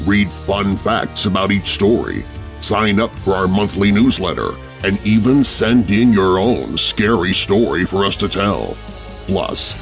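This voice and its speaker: A man's voice: sits low, vocal fry. A man hosting a podcast, reading a scripted sign-off to listeners. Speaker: podcast host.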